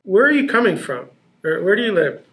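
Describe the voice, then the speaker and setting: A man's voice: high voice, big pitch swings, brisk, a bit bright. A man hosting a podcast who asks questions eagerly and often repeats words as he starts his sentences. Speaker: podcast host. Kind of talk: casual interview hosting.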